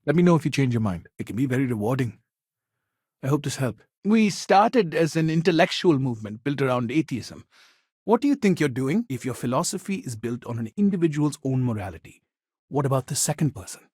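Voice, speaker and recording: An actor playing an earnest secular humanist counselor in a sci-fi video game, speaking in a measured, helpful, conversational way. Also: male voice, mid-range voice, sing-song, a little mellow, husky, no room sound, studio quality. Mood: calm, earnest.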